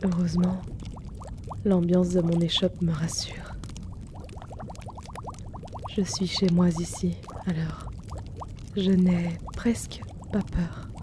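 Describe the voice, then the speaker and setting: A timid, gentle herbalist man, played by a woman, speaks in a soft, soothing ASMR roleplay voice. He talks very slowly and calmly. Steady rain and the sound of pouring liquid are clearly heard behind him. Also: lowish voice, background noise. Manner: soft-spoken, slow and soothing.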